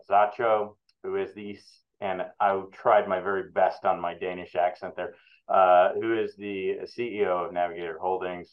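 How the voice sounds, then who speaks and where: A man with a very low voice hosts a webinar interview, pausing often and filling gaps with ums and uhs. The sound is thin and phone-like, as if from a video call. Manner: halting, many fillers, relaxed. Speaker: interviewer, research analyst. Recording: tinny phone-like line.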